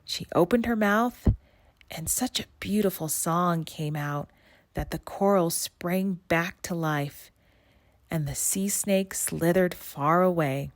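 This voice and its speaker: Slow, low-pitched woman reading a storybook. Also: dry recording. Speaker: storybook reader. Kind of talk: scripted read-aloud narration.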